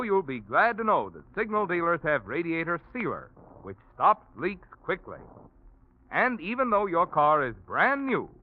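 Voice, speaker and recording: Thin, phone-like vintage broadcast sound. An American man announces in 1940s sponsor style, his fairly high voice moving with animated, salesmanlike emphasis. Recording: phone-like old radio broadcast.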